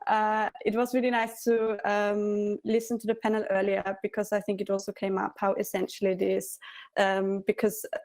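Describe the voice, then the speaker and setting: Woman, flat delivery. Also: medium pitch. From Austria. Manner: friendly, hesitant, many ums. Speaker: cultural forum music officer. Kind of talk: conference introduction.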